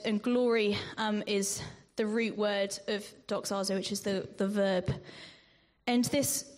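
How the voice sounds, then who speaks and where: A woman preaching a sermon in a measured way, with ums and restarts, sometimes dropping to a whisper on scripture. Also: fairly high pitch. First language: not Greek. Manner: slow, measured delivery with frequent ums and restarts.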